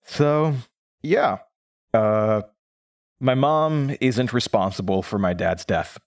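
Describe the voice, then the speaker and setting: A man acting as an earnest, reflective radio show host in an audio drama, speaking in a measured way with pauses. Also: medium pitch, no room sound, clean studio sound. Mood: grieving, resolved.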